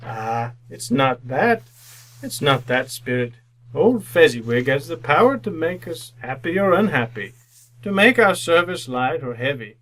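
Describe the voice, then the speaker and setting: An actor playing an old miser shaken by remorse and wistful joy, in old-time radio drama style, speaking haltingly and repeating words. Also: male voice, fairly high pitch, very animated, a bit bright. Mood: earnest.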